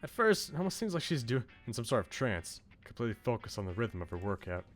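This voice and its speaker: A man livestreaming a visual novel, commenting and reading aloud in an expressive way. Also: medium pitch, fast, faint music behind. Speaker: game streamer.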